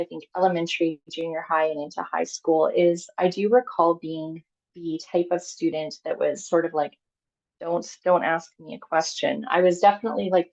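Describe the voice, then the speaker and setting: A woman's voice: lowish voice, darkish tone, lo-fi sound. A woman in her thirties from Canada gives a candid, chatty personal talk as a guest speaker on a call, with many fillers and restarts.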